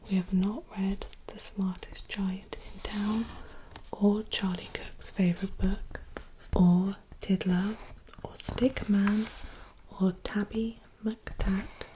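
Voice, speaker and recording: A woman reading a picture book aloud in a soft whisper, pointing out the illustrations as she goes. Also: mid-range voice, level tone, slow pace, a little hiss or hum, rough recording. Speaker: storybook reader. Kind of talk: whispered ASMR storybook reading.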